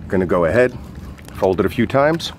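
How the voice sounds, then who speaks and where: A man's voice: sits low, lively intonation, brisk, slight background noise. A man reviewing gear in an informal, hesitant, step-by-step instructional way, as a casual demonstration. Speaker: product reviewer and outdoor coach.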